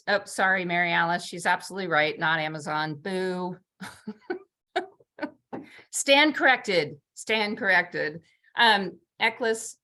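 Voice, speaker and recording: A woman hosting an online community meeting, talking casually with many halting restarts as she wraps up. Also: mid-range voice, sharp tone. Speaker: host of a nonprofit community meeting.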